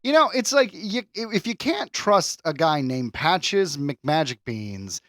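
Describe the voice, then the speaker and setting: Man, thirties, podcast co-host, animated, laughs often, dry close sound. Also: on the high side, slow, dry recording. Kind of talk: casual comedic banter.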